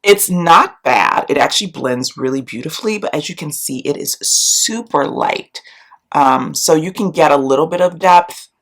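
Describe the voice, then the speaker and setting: A woman's voice: low-pitched, moderate pace. Congested woman chatting through a makeup vlog. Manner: chatty, rambling, lots of ums and likes. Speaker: beauty and book vlogger. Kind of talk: casual chat to camera. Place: small room at home, indoors. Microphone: camera close up, face framing.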